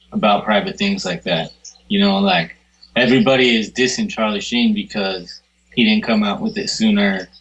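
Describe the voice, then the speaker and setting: A man co-hosts a podcast, chatting casually with a lowish voice. He talks bluntly and with emphasis, and swears freely. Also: fairly bright tone, poor audio. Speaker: podcast co-host. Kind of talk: casual chat.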